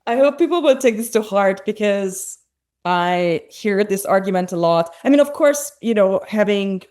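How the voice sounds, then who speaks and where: A woman from Hungary hosts a podcast conversation in a mid-range voice. She asks her questions thoughtfully, pausing and restarting as she feels for the right words. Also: mid-range voice, animated, darkish tone. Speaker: podcast host. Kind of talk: conversational interview.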